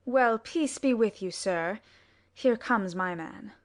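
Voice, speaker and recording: A woman's voice: mid-range voice, slow. A woman playing a haughty, aggressive young duelist in a Shakespeare audiobook, with curt, challenging delivery. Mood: hostile, challenging. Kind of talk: Shakespeare audiobook dramatic reading.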